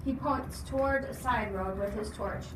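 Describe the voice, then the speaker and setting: A woman's voice: medium pitch, a little slow. A woman reading a story aloud expressively, putting questions to her listeners. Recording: echoey noisy room, distant mic.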